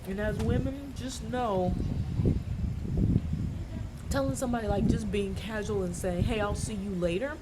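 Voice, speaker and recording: A woman's voice: mid-range voice, a little slow, pauses often. An American woman giving casual travel advice to the camera in an informal, emphatic, advisory way. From United States. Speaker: travel vlogger. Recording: clear background ambient noise.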